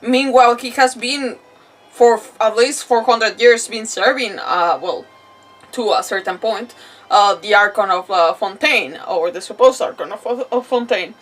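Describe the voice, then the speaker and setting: A woman chats casually through a game on her livestream. Her voice is fairly high and giggly, and she thinks aloud haltingly. The microphone sounds a bit far from her. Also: fairly bright tone. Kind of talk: casual live gameplay commentary.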